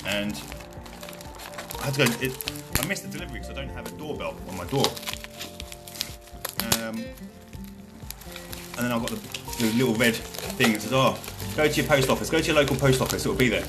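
A man from Britain hosts his own podcast in a loose, rambling chat, often joking at his own expense and swearing freely. His voice sits at an ordinary middle pitch for a man. Music plays clearly underneath him the whole time. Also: a little fast, long pauses. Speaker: podcast host. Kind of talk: casual solo podcast chat.

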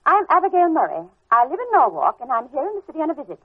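Prim, high-voiced, bossy schoolmarm. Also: female voice, wildly swooping pitch, full character voice. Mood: prim, businesslike. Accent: American. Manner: prim, brisk, imperious. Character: prim, bossy, unflappable middle-aged New England schoolteacher woman. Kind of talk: old-time radio drama acting. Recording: tinny, band-limited vintage radio recording.

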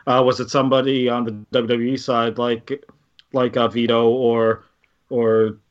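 Man, podcast host, mid-pitched voice, hesitant question, dull lo-fi sound. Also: flat delivery, measured pace. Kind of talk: asking interview questions.

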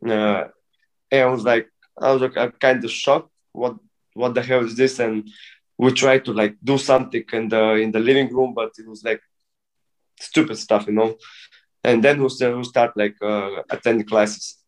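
Man, thirties, halting English, casual guest talk. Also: mid-range voice, steady tone, poor audio. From Bulgaria. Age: 30s. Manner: halting non-native English with many restarts. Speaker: guest breakdancer. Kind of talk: casual interview.

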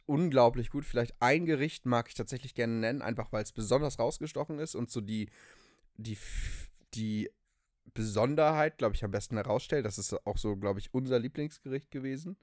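A man's voice: medium pitch, a little fast, darkish tone, no echo, studio quality. A young man co-hosting a podcast, telling stories casually and enthusiastically with slangy English phrases mixed in. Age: young adult. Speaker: podcast co-host. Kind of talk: casual conversational storytelling.

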